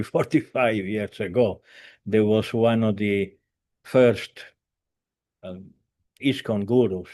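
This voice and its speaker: A man in his seventies, a spiritual teacher, giving an earnest, halting talk over a video call, with many fillers, restarts and non-native grammar. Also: on the low side, a little mellow. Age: 70s.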